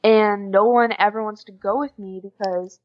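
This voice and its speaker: A woman's voice: medium pitch, fairly even intonation, normal speed, dry recording, dull audio. A teenage woman from the USA with an American accent, chatting informally and giggly as a podcast guest. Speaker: podcast guest, exchange student. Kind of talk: casual interview chat.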